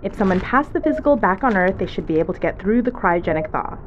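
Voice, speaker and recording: A woman's voice: medium pitch, fast, dull audio, background noise, lightly acted. An actress playing a casual, teasing young woman who works as a spaceship medic. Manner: chatty, animated storyteller, casual and teasing. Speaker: ship medic.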